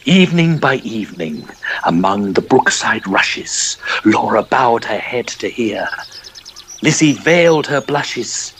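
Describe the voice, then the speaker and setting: A male narrator reads verse at a slow, measured pace with theatrical expression. His pitch is middling, and some noise sits behind the voice. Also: lively intonation, a bit bright. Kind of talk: dramatic poetry narration.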